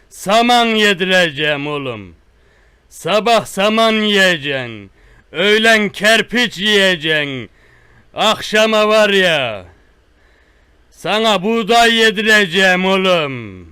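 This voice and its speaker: A man playing a menacing, boastful rustic tough guy who threatens a caller with slow, repetitive, drawn-out threats on a one-sided prank call. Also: high voice, animated, pushed and bright, clean tone, dry recording, clean studio sound, exaggerated voice.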